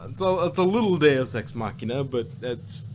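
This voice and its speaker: A man's voice: mid-range voice, expressive, moderate pace, darkish tone. A man co-hosting a review show, chatting casually in a wry, musing and hesitant way. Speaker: podcast co-host. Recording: clear background noise.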